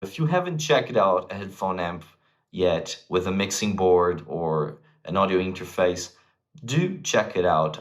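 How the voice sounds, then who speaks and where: A man talking informally and enthusiastically, like a vlog host giving casual music gear recommendations to viewers. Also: deep voice. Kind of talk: casual gear advice to camera.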